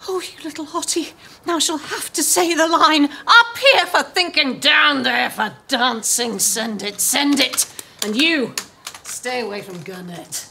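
An actress playing a frazzled, bossy middle-aged producer, urgent and clipped, in a screen comedy. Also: female voice, high-pitched, animated, unhurried, creaky, pushed and bright, a little hiss or hum, full character voice. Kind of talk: naturalistic screen comedy acting. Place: open-plan office.

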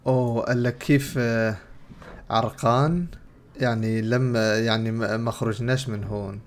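A man's voice: medium pitch, steady tone, moderate pace. A young man with a Levantine Arabic accent, giving casual game commentary and translating dialogue aloud as a streamer. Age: young adult. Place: indoor room. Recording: clear background game noise.

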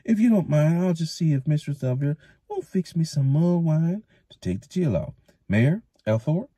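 A man's voice: fairly high pitch, lively intonation, moderate pace, mellow, husky, no room sound, voice pushed up. A man voicing a sour, complaining old male thatcher in a storybook reading, pushing his voice well above his own. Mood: grumbling.

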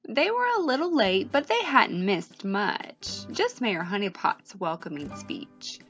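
Animated woman reading a children's storybook. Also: medium pitch, measured pace. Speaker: storybook reader. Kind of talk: children's read-aloud narration. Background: music.

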